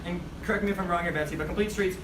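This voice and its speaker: A man moderating a panel in a booming, friendly teacher voice. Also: rapid-fire. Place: echoey conference room. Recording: echoey room, far from mic, background noise.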